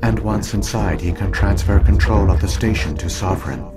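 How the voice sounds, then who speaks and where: An actor playing an ancient alien artificial intelligence in a video game, speaking in a formal, measured, unemotional way. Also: male voice, fairly low pitch, loud music behind the voice, natural voice. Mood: solemn, measured. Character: ancient alien AI hologram guardian, solemn and measured. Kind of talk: scripted narration, video game acting.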